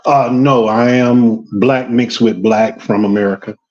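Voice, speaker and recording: A man's voice: mid-range voice, fairly even intonation, measured pace, darkish tone, muffled recording. A man from Georgia with a light Southern US accent, talking on a casual date in a rambling, hesitant way. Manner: rambling, hesitant, with many ums. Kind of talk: casual getting-to-know-you chat.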